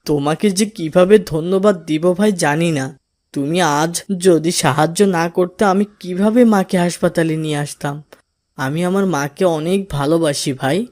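A performer voicing a mischievous boy who loves fooling his friends, in an expressive, theatrical manner for a moral cartoon. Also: mid-range voice, a little slow, close to an everyday voice. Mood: grateful, remorseful. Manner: gleeful teasing, swings into wailing pleas. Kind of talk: Islamic moral cartoon voice acting.

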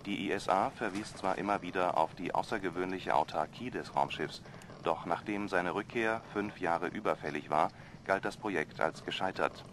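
An actor playing a radio news announcer who reads a special bulletin in a formal, even manner in a radio play. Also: male voice, deep voice, moderate pace, slight background noise.

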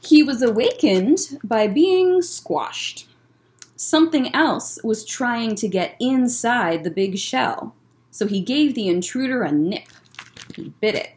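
A young woman with an American accent reads a storybook aloud for small children. Her voice is fairly high and swings widely in pitch. She takes her time, phrasing things simply and expressively. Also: expressive, slow, darkish tone, slight background noise. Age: young adult. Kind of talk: reading a picture book aloud to children, with short asides. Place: indoor library room lined with bookshelves. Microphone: webcam at desk distance.

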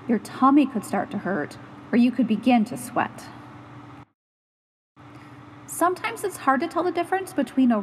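A woman reading a children's picture book aloud in an animated, instructive, expressive way. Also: high-pitched, big-room sound, slight background noise, poor audio. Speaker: storytime reader and host.

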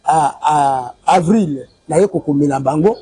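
A man's voice: on the high side, unhurried, warm tone, breathy edge, dry recording. A Congolese man in his forties, interviewed outdoors on a lapel mic, insistently recounting a family money dispute and repeating dates and sums. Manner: insistent, repeats dates and figures, waves documents. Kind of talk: street interview, recounting a complaint. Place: outdoor courtyard by a building.

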